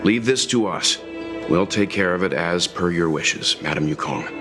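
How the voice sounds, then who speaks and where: A man voicing a calm, reserved adventurer in a video game, with a measured, pensive manner. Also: low-pitched, music in the background, close to an everyday voice.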